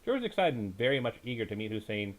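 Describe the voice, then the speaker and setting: Man reading a bedtime story, very animated pitch. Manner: halting, stumbles and corrects himself while reading. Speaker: storyteller, reader.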